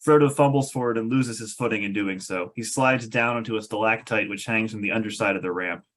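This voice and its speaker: A man's voice: fairly low pitch, fairly quick, fairly bright tone. A man reading stage directions aloud as narrator of a scripted table read.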